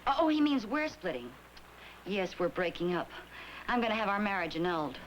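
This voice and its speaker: An actress playing a flustered young wife who talks in a chatty, pleading rush. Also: female voice, medium pitch, sing-song, a little fast, a little hiss or hum, close to an everyday voice, rough recording. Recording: old TV sitcom with music behind.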